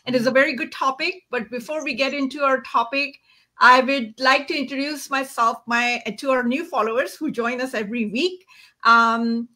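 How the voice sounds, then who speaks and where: Bright, high-pitched Indian-accented woman host. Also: normal speed. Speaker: host of an online business show. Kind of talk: casual livestream introduction.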